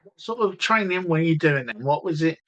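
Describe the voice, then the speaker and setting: A man's voice: fairly high pitch, lively intonation, normal speed, a bit bright, highs cut off. A man interviewing a guest on a podcast. He asks questions in a loose, rambling way, rewording them several times before he lets the guest answer. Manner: rambling, rephrases his questions. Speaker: podcast host.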